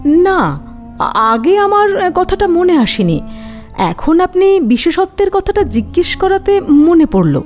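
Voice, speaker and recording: High, lively-pitched, hesitant woman witness. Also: soft background music, full character voice. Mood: hesitant recalling. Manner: halting, searching her memory. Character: troubled wife of a missing man, hesitant witness. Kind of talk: Bengali audio detective drama acting.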